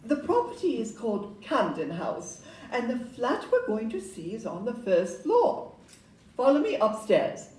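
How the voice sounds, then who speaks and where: A woman acting a polite Victorian estate agent in a stage audio play, courteous, deliberate and salesmanlike. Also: on the high side, wildly swooping pitch. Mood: apologetic selling. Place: live indoor performance in a club room. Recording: roomy distant live recording, background noise.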